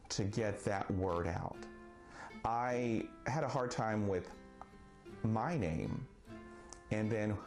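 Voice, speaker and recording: A man who stutters, speaking slowly and deliberately with long pauses in a personal monologue. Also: fairly low pitch, a little mellow. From Texas. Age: 40s. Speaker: vlogger. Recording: faint guitar music behind.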